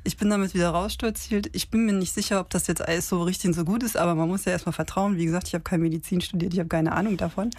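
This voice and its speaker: A woman in her fifties co-hosting a casual podcast, telling a story in a lively way with fillers and short pauses. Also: fairly low pitch. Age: 50s. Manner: animated retelling with many fillers. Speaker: podcast co-host.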